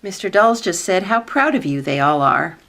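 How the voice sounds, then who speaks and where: An actress playing a supportive but skeptical office secretary with a dry, matter-of-fact manner in a comedic radio play. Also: female voice, fairly low pitch, expressive, normal speed, background noise. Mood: reassuring.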